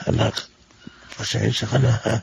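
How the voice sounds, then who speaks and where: Frail, gravelly old Filipino father. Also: medium pitch, little pitch movement, moderate pace, slight background noise. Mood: weary, apologetic. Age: older. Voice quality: husky, gravelly. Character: weak, apologetic ageing father, worn down.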